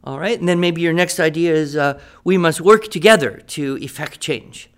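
A man's voice: a little high, normal speed, clear, resonant tone, lightly acted. A man playing a patient writing teacher in a radio drama, explaining step by step and checking that students follow. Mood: instructive. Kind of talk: improvised-sounding classroom teaching in a radio drama.

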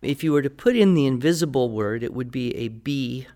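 A man's voice: medium pitch, expressive, brisk, warm tone. A man with a mid-range voice speaks as a teacher on a podcast. He is conversational and playful, laying out explanations in a didactic, step-by-step way. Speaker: writing teacher answering listener questions.

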